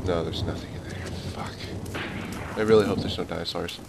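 A man's voice: low-pitched, fairly even intonation, a little fast, vocal fry, heavy background noise. A young man hosting a gaming video, commenting nervously as he plays, swearing and laughing nervously, yelping when startled. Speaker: gaming channel host. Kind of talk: let's play commentary.

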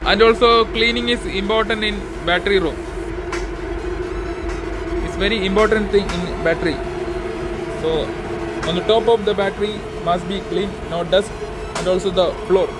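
A young man with a fairly high voice gives a plain, step-by-step tour of a ship's battery room, pausing on fillers as he goes. He speaks into a handheld phone in a hard-walled indoor space, with loud music playing under his voice. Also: fairly even intonation. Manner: plain explanatory, simple phrasing with filler pauses. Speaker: ship electrician vlogger. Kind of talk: casual work-tour vlog.

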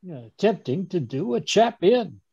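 Guy doing a fussy old man in a radio play, blustery and stammery, creaky voice, dull call audio. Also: high-pitched, sing-song, moderate pace, warm tone, no echo, full character voice. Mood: reminiscing. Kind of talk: 1930s radio drama acting. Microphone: video call.

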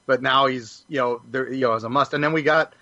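A male podcast panelist talks casually and quickly. His medium-pitched voice has a bright, forceful edge. The recording is slightly dull and lo-fi. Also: fairly even intonation, no room sound. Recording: dull, lo-fi close sound.

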